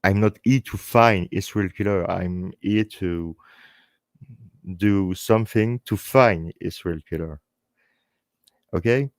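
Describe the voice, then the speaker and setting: A man with a French accent and French as his first language, hosting a live stream in halting English, speaking earnestly and unscripted in short phrases with repeated words. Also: low-pitched, no echo, clean studio sound. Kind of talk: earnest unscripted talk to online viewers.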